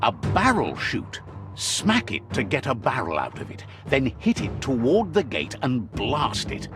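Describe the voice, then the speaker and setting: A man's voice: mid-range voice, huge pitch swings, unhurried, music in the background. A man giving scripted narration for a video game, a wry storyteller who relays what the characters say.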